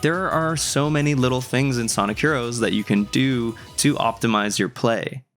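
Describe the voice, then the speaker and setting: A man's voice: medium pitch, moderate pace. A young adult man narrating a scripted video game review in a wry, conversational way. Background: music.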